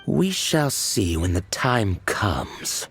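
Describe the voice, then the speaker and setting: A man voicing a cold, menacing agent of a sinister organization in a video game, formal and deliberate. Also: fairly low pitch, very animated, fairly bright tone, slight background noise, full character voice. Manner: deliberate, formal, veiled threat. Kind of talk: video game villain acting.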